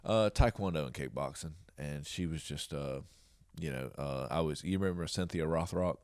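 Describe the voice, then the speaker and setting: A middle-aged man with a deep, booming voice and a Southern Georgia accent, rambling through stories with chuckles and fillers as a podcast guest. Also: moderate pace, a little mellow. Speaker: podcast guest, jiu jitsu coach. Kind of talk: casual interview storytelling.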